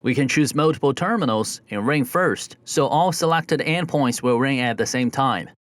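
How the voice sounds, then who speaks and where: A man reading a scripted step-by-step software tutorial in a methodical, instructional way, as a close voice-over. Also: medium pitch, normal speed, no room sound. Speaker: tutorial narrator.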